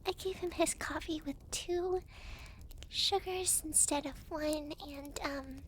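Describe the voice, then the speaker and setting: Woman as a very high-pitched, breezy devil girl. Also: steady tone, slight background noise. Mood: chatty, persuasive. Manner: giggly, breezy, teasing, halting when flustered. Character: chatty young devil girl, hell's intake clerk. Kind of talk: ASMR roleplay, talking to the listener.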